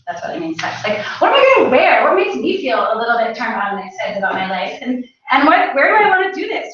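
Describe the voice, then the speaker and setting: A woman speaking on a panel in an animated, playful and emphatic way, often posing rhetorical questions. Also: on the high side. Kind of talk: animated personal talk at a panel event. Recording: echoey room, distant mic, dull sound.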